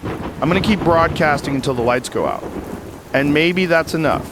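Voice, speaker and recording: Man acting a somber survivor broadcaster, swooping pitch. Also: expressive, moderate pace, background noise. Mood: somber resolve. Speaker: interviewer, broadcaster. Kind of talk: apocalypse radio interview drama. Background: rain and thunder ambience.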